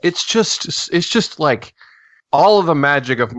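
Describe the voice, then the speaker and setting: A man hosting a podcast who rants in casual chat, swearing and throwing in quick asides. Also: a little high, expressive, fairly bright tone, dry recording. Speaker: podcast host.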